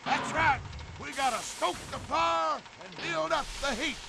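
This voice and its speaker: An actor playing a good-natured, folksy stout train engineer in an animated video game. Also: male voice, a little slow, creaky, background noise, heavily acted. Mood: hearty, encouraging. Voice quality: high-pitched.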